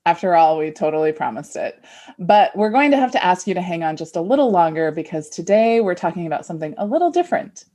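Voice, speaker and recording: Animated, husky woman podcast co-host. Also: fairly low pitch, fast talker. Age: 40s. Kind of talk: casual chat with a friend, some scripted intro.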